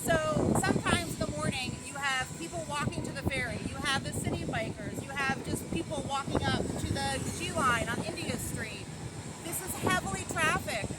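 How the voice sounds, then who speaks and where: Brief: middle-aged woman, earnest street tour guide, high voice, heavy traffic roar behind. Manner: earnest, thoughtful, many ums. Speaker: local resident advocate. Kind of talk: walking tour talk. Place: city street outdoors by the waterfront. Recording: loud street traffic noise. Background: traffic and construction noise.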